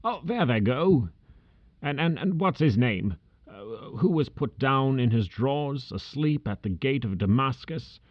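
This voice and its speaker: A man voicing an old miser who stammers excitedly between laughing and crying. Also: medium pitch, slow, hardly any pauses, no room sound, muffled recording, pitched above the performer's own voice. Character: old miserly man overcome with joyful nostalgia.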